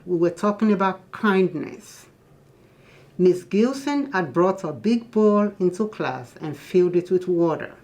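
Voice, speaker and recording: Slow, low-pitched woman reading to children. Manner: teacherly, explains to children. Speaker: guest storybook reader. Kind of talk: children's picture book read-aloud with asides to listeners.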